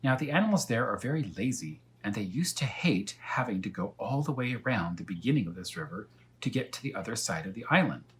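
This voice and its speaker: A man's voice: fairly low pitch, very animated, fairly quick, a bit bright. A man voicing a talkative female cat who tells her tale earnestly and without hurry in a children's storybook. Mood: earnest, explanatory. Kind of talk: children's storybook read-aloud.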